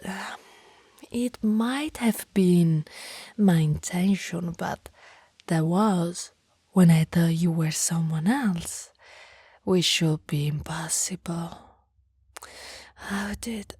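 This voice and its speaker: A lovestruck female vampire acted by a woman whispers in a low, mellow, breathy voice. She speaks slowly and formally, with old-fashioned romantic courtesy, both predatory and apologetic, as in an intimate ASMR roleplay. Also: no echo, full character voice. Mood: apologetic, flustered.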